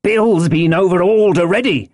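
A man voicing a rough, excitable pirate henchman in an audiobook, calling out loudly. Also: high voice, normal speed, dry recording, clean studio sound, pitched above the performer's own voice. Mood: grumbling. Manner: loud, hollering. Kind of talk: audiobook character voice.

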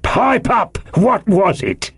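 A man voicing a hearty, commanding seafaring tavern landlord, loud and emphatic with drawn-out words, in an audiobook. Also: medium pitch, sing-song, creaky, slightly rough, dry recording, clean studio sound, pitched above the performer's own voice, exaggerated voice. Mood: stern, bullying. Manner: loud, emphatic, drawn-out old sailor's speech. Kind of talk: audiobook character voice.

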